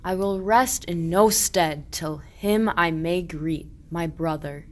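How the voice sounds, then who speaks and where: A woman acts an earnest, forthright shepherd in a verse radio play. The voice is on the low side, with slow, declamatory delivery of archaic rhyme. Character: earnest, forthright shepherd searching for a lost sheep.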